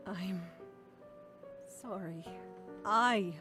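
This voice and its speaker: An elegant, gentle older sister. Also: female voice, medium pitch, very slow, faint music behind. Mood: pained. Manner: soft, affectionate, calls others darling. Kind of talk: visual novel voice acting.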